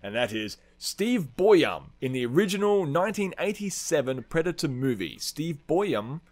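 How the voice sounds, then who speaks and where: A man's voice: a little high, very animated, normal speed, darkish tone. A man hosting a film-fan podcast, chatting casually with plenty of swearing in a rambling, enthusiastic, slangy way. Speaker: podcast host.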